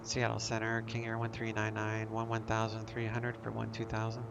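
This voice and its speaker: Guy flying a sim on stream, gravelly low-key voice, flat delivery, music under it. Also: normal speed, highs cut off. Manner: relaxed, talks himself through cockpit steps. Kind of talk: casual sim commentary with radio calls.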